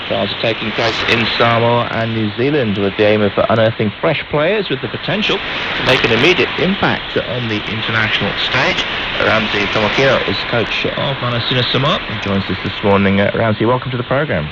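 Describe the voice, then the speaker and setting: A man's voice: mid-range voice, fairly quick. A man presenting a live radio magazine show in a conversational, hesitant way. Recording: noisy, dull shortwave radio reception.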